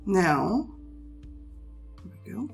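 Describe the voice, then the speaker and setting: A woman teaching a chemistry lesson, a little hoarse from a cold, talking students patiently through the material step by step. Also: lowish voice, sing-song, extremely slow, faint music behind. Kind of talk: recorded classroom lesson.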